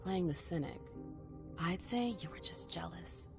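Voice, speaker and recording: A female vampire in a video game cutscene, lowish and full of creaky fry. She is stubborn and skeptical with an old hurt, pressing coolly and insistently over prominent music. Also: expressive, measured pace. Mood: skeptical, teasing. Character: stubborn, wounded female vampire, probing her sire. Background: background music.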